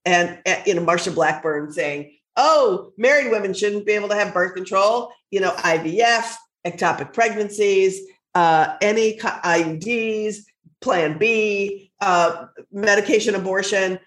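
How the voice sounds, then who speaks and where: A woman co-hosting a podcast, stuffy and low-voiced while recovering from an illness, talking bluntly and with passion, often swearing. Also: measured pace, a bit bright, echoey room. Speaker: podcast co-host. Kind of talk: casual chat.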